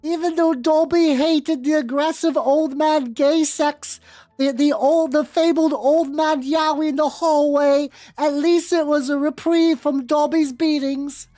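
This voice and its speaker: Squeaky, put-upon male house elf for comic fanfiction, meek and pleading, very high played by a woman, music underneath. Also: steady tone, unhurried, warm tone, dry recording. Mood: sad, resigned. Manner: speaks of himself in third person, pleading.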